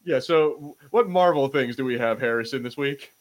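A man's voice: mid-range voice, moderate pace. A man co-hosting a podcast in casual pop-culture banter, speaking informally and swearing freely. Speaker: podcast host.

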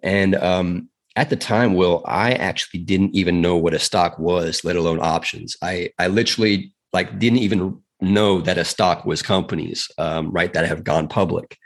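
A man's voice: deep voice, moderate pace. A man from South Africa, a podcast guest telling his story in a chatty, earnest way with frequent fillers. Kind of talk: casual storytelling in a podcast interview.

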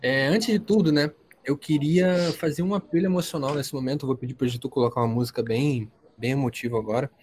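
A man's voice: mid-range voice, fast talker, few pauses, a little mellow. A man of twenty hosting a casual podcast from home, joking and teasing his friends. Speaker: podcast host.

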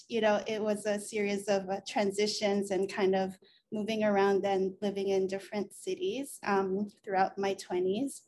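Flat-voiced woman, faint background hum. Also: mid-range voice. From Philippines. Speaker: podcast co-host, journalist. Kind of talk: casual introduction.

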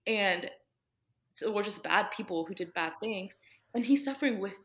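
A woman's voice: medium pitch, expressive, moderate pace, fairly bright tone. A young woman, a podcast guest, talking earnestly about her faith in a casual, rambling way with many fillers.

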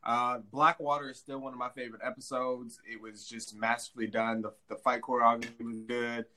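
A man hosting a podcast in casual chat, relaxed and chatty with quick laughs. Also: mid-range voice, a little hiss or hum. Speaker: podcast host.

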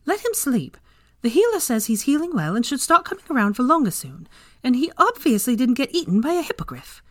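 An irritated young woman, put on by a woman narrator, scolding with open exasperation. Her voice is pitched up, an impatient storybook friend. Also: sing-song, a little fast, no room sound, clean studio sound.

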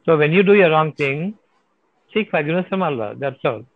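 A man's voice: fairly high pitch, animated, fairly quick. A man answering audience questions about prayer, emphatic and given to repeating himself and asking rhetorical questions. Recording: tinny phone-like dry sound.

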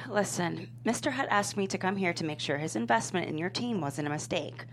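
Casting a woman as exasperated media handler, clipped and skeptical, lowish voice, faint hum in the recording. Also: expressive, moderate pace. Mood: skeptical, dry. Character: exasperated, skeptical professional female media manager. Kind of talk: comedy pilot table read.

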